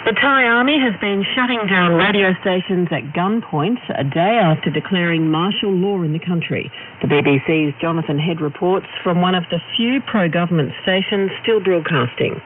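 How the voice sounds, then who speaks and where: A man's voice: high-pitched, normal speed, few pauses. A man with an Australian accent reading a scripted radio news bulletin over noisy shortwave radio. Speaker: news reader. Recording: noisy narrow-band shortwave radio reception.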